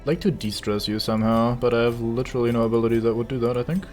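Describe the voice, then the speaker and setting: A man's voice: medium pitch, steady tone, quick pace, a little mellow, soft background music. Sarcastic German-accented man streaming a game. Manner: sarcastic, joking with the game, swears. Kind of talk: casual gameplay commentary.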